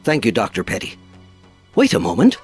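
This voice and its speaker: An actor playing a stern but fair-minded naval captain in a period audio drama, formal and authoritative. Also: male voice, lowish voice, huge pitch swings, fairly quick, husky, soft background music, full character voice. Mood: courteous, grave.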